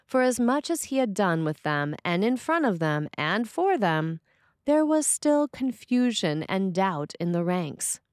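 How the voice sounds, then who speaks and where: A woman reading a scripted sermon as a preacher, with measured, deliberate phrasing. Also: medium pitch, big pitch swings, no echo, studio quality.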